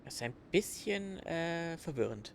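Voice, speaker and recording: A man's voice: a little high, expressive, normal speed, noisy background. A man giving casual, explanatory let's play commentary, thinking aloud as he goes. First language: German. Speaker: let's player. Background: game music.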